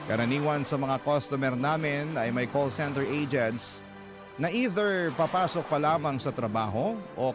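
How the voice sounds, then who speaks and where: A man with a Filipino accent reading a letter as scripted, sentimental radio narration in a measured manner. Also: medium pitch. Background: music.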